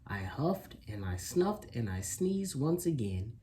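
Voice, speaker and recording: Storybook wolf, played by a reader: self-excusing, mock-innocent narrator with a mellow, soft-edged voice and very animated delivery. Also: medium pitch, slow, slight background noise. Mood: self-justifying. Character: sly, self-excusing wolf telling his own story. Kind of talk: children's picture book read aloud.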